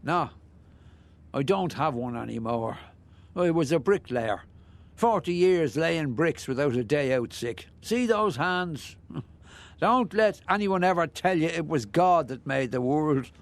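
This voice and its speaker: An actor playing a chatty, nosy older Irish ex-bricklayer who rambles and muses in a radio play. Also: male voice, a little high, lively intonation, measured pace. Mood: chatty, musing. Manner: garrulous, rambling, musing, with hesitations.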